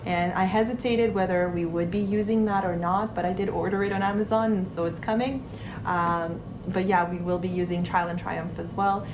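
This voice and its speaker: A woman in her thirties, a homeschooling mother, talking to camera in an earnest, unhurried way with soft fillers. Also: fairly low pitch. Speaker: homeschooling mother sharing curriculum picks. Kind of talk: casual talk to camera. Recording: steady background noise.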